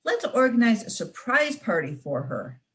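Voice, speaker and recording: A woman reading a gentle, coaxing storybook dad. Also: on the high side, sing-song, normal speed. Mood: encouraging. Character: gentle, patient father of a young girl.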